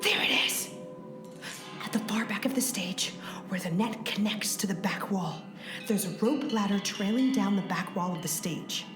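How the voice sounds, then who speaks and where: A woman's voice: mid-range voice, normal speed, lightly acted. Female actor as furtive radio play accomplice; urgent half-whisper, echoey, music underneath. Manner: hushed, urgent. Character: furtive, alert female mission partner. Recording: echoey space, music behind.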